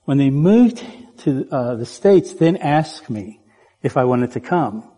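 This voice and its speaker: Playful preacher in an echoing church. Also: male voice, medium pitch, expressive, slow pace, warm tone. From Puerto Rico. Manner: conversational, playful, engages the congregation with questions. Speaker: guest preacher. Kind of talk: sermon. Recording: church hall with long reverb.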